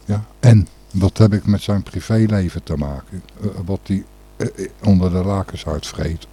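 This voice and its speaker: A man's voice: sits low, steady tone, normal speed, dark tone, breathy edge. A man the hosting a radio show with casual, rambling, blunt and opinionated talk.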